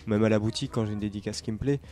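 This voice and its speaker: A man's voice: fairly low pitch, fairly even intonation, very fast, warm tone. A man chatting casually on a podcast, talking with many fillers and restarts. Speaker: podcast co-host, comics shop worker. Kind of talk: casual chat.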